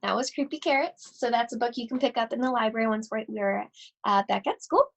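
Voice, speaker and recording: A woman reading a children's storybook aloud expressively, with deliberate, suspenseful phrasing and drawn-out words. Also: fairly high pitch, gravelly. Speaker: school library read-aloud host.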